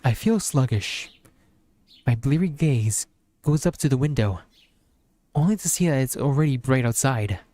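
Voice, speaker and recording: A man's voice: medium pitch, animated, moderate pace, pauses often, dark tone, no room sound. A man streaming as a VTuber, reading a visual novel aloud as narration.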